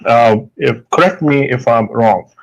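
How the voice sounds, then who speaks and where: A man's voice: mid-range voice, measured pace, vocal fry, highs cut off. A man with an Indian accent, a doctor in a live debate, explaining his points hesitantly with many fillers and restarts.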